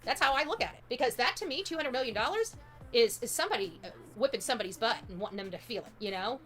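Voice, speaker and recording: A woman's voice: on the high side, animated, fast talker, fairly bright tone, faint music behind. A woman hosting a livestream, giving opinionated, casual true-crime commentary in a blunt, emphatic, rambling and chatty way. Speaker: livestream host.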